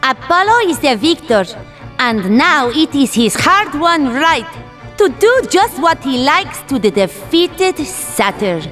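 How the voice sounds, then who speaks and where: A woman playing an expressive mythic muse in a radio drama. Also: high voice, slow pace, soft background music, full character voice. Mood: proclaiming. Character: expressive female mythic spirit, a Greek muse. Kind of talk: theatrical radio drama acting.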